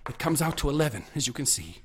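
An actor playing an obsessive young mathematics student in a radio drama, eagerly lecturing and insistent. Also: male voice, on the high side, sing-song, fast talker, slight background noise. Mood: insistent, excited. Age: young adult.

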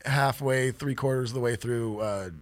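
A man hosting a podcast, chatting in a laid-back, halting way and swearing casually. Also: mid-range voice, steady tone, no echo. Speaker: podcast host. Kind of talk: casual chat.

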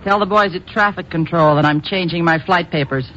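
A woman's voice: on the low side, a little fast, a bit bright. An actress playing a brusque, no-nonsense woman who owns a ranch in a 1950s radio drama. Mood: businesslike. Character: tough, curt woman ranch owner. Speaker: radio actor. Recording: muffled, hissy old radio recording.

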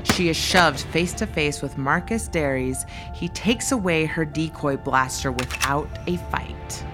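A woman's voice: deep voice, measured pace, over music. A woman giving scripted, dramatic narration for an audio fiction story.

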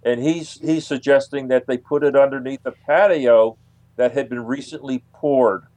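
Halting man telling anecdotes, mid-pitched. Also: steady tone, darkish tone. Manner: halting, hesitant delivery with long pauses. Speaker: interview guest, investigator. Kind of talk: casual anecdotal interview talk.

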